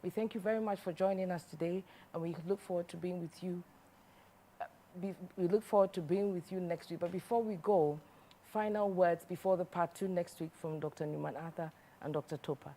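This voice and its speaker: Low, mellow woman host. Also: steady tone, brisk, a little hiss or hum. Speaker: TV talk show host. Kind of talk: studio talk show hosting.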